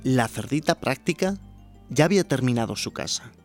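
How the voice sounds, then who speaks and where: A man's voice: medium pitch, expressive, moderate pace, soft background music. A man narrating a scripted children's story as the narrator of a radio tale.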